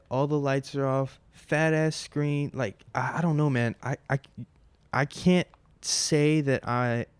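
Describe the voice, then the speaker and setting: Young man in his twenties, solo podcast host, smooth resonant voice, dry close sound, loose rambling chat with swearing. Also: medium pitch, a little mellow, dry recording. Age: 20s. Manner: rambling, profane, with many fillers and restarts. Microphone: close mic at home.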